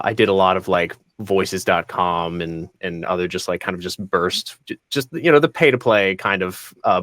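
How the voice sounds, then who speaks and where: A man with a fairly low voice talks casually as a guest on a panel. The sound is dry and close, as from a home studio on a video call. He tells his own career story in an informal way. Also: a bit bright, smooth, no room sound.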